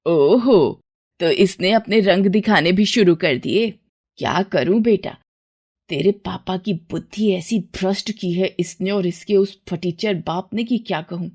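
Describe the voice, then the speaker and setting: A woman's voice: on the low side, measured pace, a little mellow, slightly rough. A woman voicing a spiteful middle-aged mother-in-law who sneers at her son's wife and dotes on her son. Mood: sneering.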